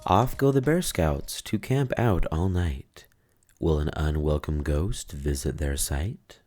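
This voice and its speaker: A storybook narrator reads a children's tale aloud. The voice is extremely low and moves along slowly, line by line. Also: expressive, darkish tone, soft background music.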